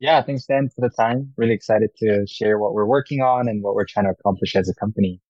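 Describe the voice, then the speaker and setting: A man's voice: fairly low pitch, quick pace, a little mellow, no echo. A young man from Korea, a startup founder, answering interview questions in an earnest, upbeat way. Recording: dull, muffled sound.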